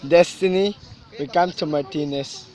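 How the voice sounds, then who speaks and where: A man's voice: fairly high pitch, moderate pace, darkish tone, lightly acted. A man in a student radio drama, playing a grave, superstitious young cousin who speaks solemnly and cryptically.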